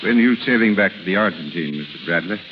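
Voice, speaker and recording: A middle-aged man acting in a 1930s radio drama as a proud, wounded husband with formal, halting speech. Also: low voice, expressive, music in the background. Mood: resigned. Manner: formal, halting under emotion. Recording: noisy, sizzling 1930s radio recording.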